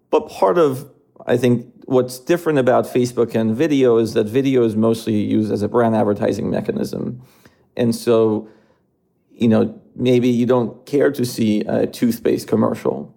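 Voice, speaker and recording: A man in his thirties who grew up in Iran, answering interview questions in a measured way with frequent fillers. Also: medium pitch, vocal fry, dark tone. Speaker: streaming company executive.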